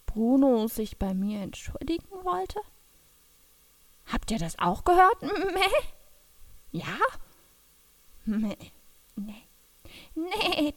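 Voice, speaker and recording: A woman's voice: fairly high pitch, no echo, heavily acted. Woman voicing a timid bleating young ewe. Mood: unsure. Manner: hesitant, stammering, bleats between words. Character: timid, anxious young female sheep who bleats. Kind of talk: children's audio drama acting.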